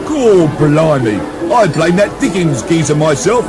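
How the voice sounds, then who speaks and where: A man's voice: on the high side, animated, measured pace. A man playing a blunt Cockney barman in a Victorian pub, with a London Cockney accent, in a comedy radio play. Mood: gruff. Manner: blunt, mishearing rhyming slang. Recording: radio taped to cassette, music and crowd.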